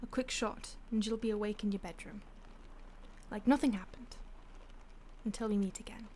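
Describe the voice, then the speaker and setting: A woman's voice: medium pitch, steady tone, normal speed, a little hiss or hum, natural voice. A woman playing a mocking vampire stalker. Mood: coolly threatening. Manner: teasing, condescending, soft-spoken with whispers. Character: mocking female vampire stalker, predatory and amused. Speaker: audio roleplay voice actor. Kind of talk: audio roleplay acting.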